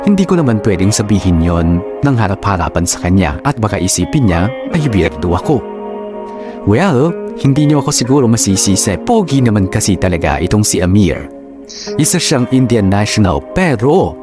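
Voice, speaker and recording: A man's voice: fairly low pitch, lightly acted. A man playing a lovestruck office worker, narrating a love story in the first person with dreamy, gushing delivery. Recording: music playing behind the voice. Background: music.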